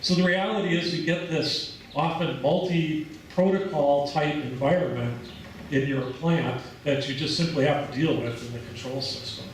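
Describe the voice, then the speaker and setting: A man's voice: on the high side, moderate pace, a little hiss or hum. A man with an American accent giving a conference presentation, explaining at an easy pace with some fillers. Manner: relaxed explanatory speaking with fillers. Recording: echoey hall, mic at a distance.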